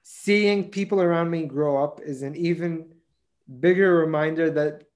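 A man's voice: on the high side, fairly even intonation, a little slow, darkish tone. A man in his twenties co-hosting a podcast, telling a story animatedly with lots of fillers. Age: 20s. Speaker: podcast co-host. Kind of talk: casual chat.

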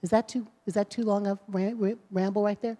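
A woman's voice: mid-range voice, fairly even intonation, brisk, warm tone. A woman pastor hosting a conversation at a service, speaking with rambling, preacherly emphasis. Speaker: pastor and host. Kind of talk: sermon conversation. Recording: long hall reverb.

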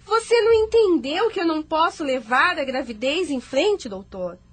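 A defiant, troubled career woman voiced by an actress in a radio play. Her voice is high, and her delivery is emotional and theatrical. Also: animated, normal speed. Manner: emotional, dramatic, argumentative. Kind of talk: radio drama acting.

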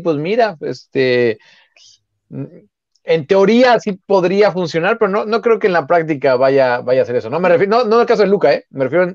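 A man's voice: on the high side, no room sound. Man, dry dull lo-fi sound. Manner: relaxed, chatty, greets viewers, many fillers. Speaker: co-host of a live sports stream. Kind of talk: casual live-stream chat.

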